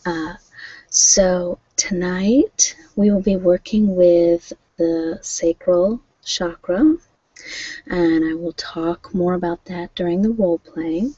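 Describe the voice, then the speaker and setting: Woman, lowish voice, casual ASMR host, hesitant informal delivery, dry dull recording. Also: fairly even intonation, takes it slowly, warm tone, no echo. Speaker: host of a guided relaxation and meditation channel. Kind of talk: casual ASMR livestream chat.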